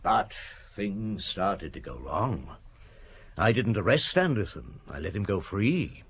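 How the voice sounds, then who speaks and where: An actor playing a shrewd, relentless chief inspector in a radio detective drama, speaking deliberately with probing questions and dramatic pauses. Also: male voice, on the low side, sing-song, a bit bright, breathy edge, heavily acted. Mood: accusing, methodical. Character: shrewd, relentless male French police chief inspector summing up.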